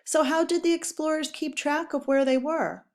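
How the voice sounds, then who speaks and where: A woman teacher reading educational text aloud for pupils, with clear, deliberate, instructional phrasing. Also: high voice, steady tone.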